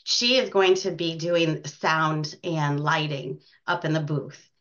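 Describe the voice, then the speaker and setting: Woman, American accent, lower pitch, casual interview guest, muffled lo-fi recording. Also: fairly even intonation, takes it slowly, fairly bright tone. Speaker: guest, community theater co-director and actress. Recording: dull, lo-fi sound.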